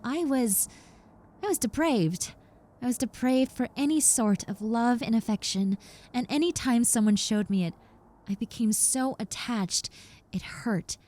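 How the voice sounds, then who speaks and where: A woman playing an earnest, remorseful reformed stalker roommate in an intimate audio roleplay, speaking softly and hesitantly. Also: a little high. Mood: remorseful confession. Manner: soft, hesitant, halting with repeated words.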